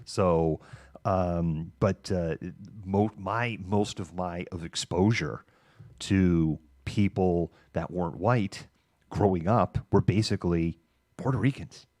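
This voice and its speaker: A middle-aged American man hosting a livestream, talking casually and candidly in a halting, informal monologue. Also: low voice, darkish tone, dry recording, studio quality. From Massachusetts, United States. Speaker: livestream news host. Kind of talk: casual monologue of personal reminiscence.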